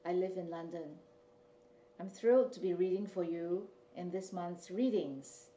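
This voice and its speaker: Woman from Malaysia reading her short story aloud, mellow tone, recorded in a roomy space from a distance. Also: on the low side, a little slow. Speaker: author reading her own fiction. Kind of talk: literary reading.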